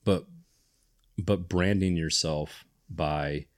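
A man with an extremely low, deep voice hosts a casual podcast conversation. He rambles, restarts his sentences and gets enthusiastic about his ideas. He sounds middle-aged. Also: fairly even intonation, drawn-out words. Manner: rambling, self-correcting, enthusiastic. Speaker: podcast host. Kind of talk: casual chat.

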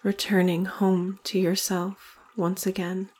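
A woman leading a guided meditation in slow, deliberate phrases with long pauses between them. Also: medium pitch, little pitch movement, a little mellow.

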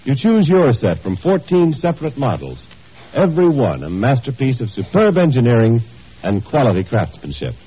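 Male 1950s radio ad announcer, lively intonation. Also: mid-range voice, normal speed, husky. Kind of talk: 1950s radio advertising read, scripted. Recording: muffled old 1950s radio broadcast.

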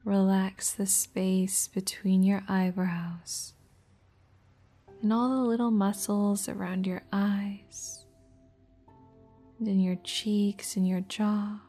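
Woman, guided meditation, soft breathy near-whisper, mellow tone, slow measured phrases, background music. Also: medium pitch, fairly even intonation. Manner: gentle, measured, with long pauses between short phrases.

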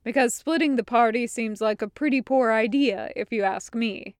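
A woman's voice: on the high side, normal speed, no echo, studio quality, lightly acted. A woman voicing a wry, practical male adventurer with a matter-of-fact delivery in an audiobook story. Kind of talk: audiobook character acting.

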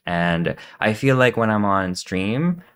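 Podcast co-host and streamer, man from the Philippines; lowish pitch, easygoing and reflective. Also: expressive, slow, smooth. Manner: easygoing, thoughtful, laughs softly. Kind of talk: casual chat.

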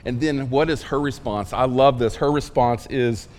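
A man preaching a sermon in a casual, emphatic way, with asides and quick questions to the congregation. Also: medium pitch, darkish tone, big-room sound. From Oklahoma. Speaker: pastor.

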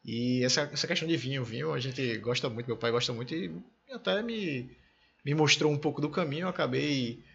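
A man's voice: medium pitch, a little fast, creaky, a bit bright, highs cut off. A man in his thirties from Brazil hosting a casual conversation, telling enthusiastic anecdotes about his family.

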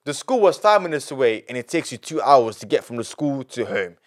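Animated young Londoner telling stories. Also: male voice, mid-range voice, a little fast. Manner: animated, repeats himself, heavy street slang. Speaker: young entrepreneur guest. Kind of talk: casual podcast storytelling.

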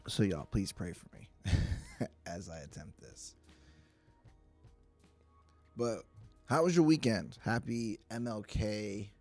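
Hoarse, gravelly-voiced man teaching casually. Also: lowish voice, animated, slow pace, pauses often, mellow, faint music behind. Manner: chatty, self-deprecating, informal. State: hoarse, stuffy voice. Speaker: pastor. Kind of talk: casual livestreamed Bible teaching.

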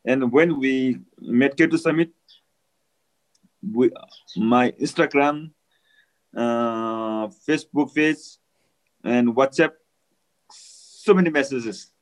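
A man with a Nepali accent, a native Nepali speaker, answering interview questions in halting, simple English. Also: medium pitch, poor audio. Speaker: interview guest, mountaineer. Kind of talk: casual interview.

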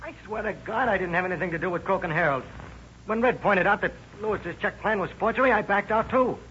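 An actor playing a scared teenage suspect, earnest and agitated, in 1930s radio crime drama with slangy talk. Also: male voice, sits high, fast talker, bright, forceful tone. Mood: anxious, insistent. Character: scared, eager teenage male suspect denying involvement. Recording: muffled, noisy old radio recording.

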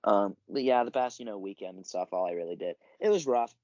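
A teenage boy co-hosting a casual sports podcast, still recovering from an illness and clearing his throat, talking in a relaxed way with many fillers. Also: fairly low pitch, fairly quick, no room sound. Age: teens. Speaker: podcast co-host. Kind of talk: casual sports chat and news rundown. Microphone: basic home podcast setup. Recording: dull lo-fi dry recording.

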